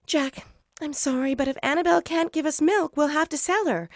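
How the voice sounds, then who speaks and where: A woman's voice: high voice, fairly quick, dry recording, studio quality, voice pushed up. A woman voicing a boy's exasperated mother in a children's story, emphatic and dramatic. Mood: apologetic, practical. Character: exasperated scolding mother of a foolish boy.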